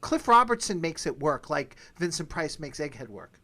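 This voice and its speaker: A man's voice: fairly high pitch, very animated, moderate pace, bright, forceful tone. A man hosting a podcast in a chatty, casual, self-deprecating way. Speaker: podcast host.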